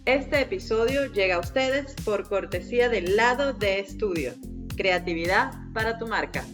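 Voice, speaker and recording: A woman in her forties reading a scripted podcast intro in a calm, measured way. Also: mid-range voice. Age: 40s. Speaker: show intro announcer. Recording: over music bed.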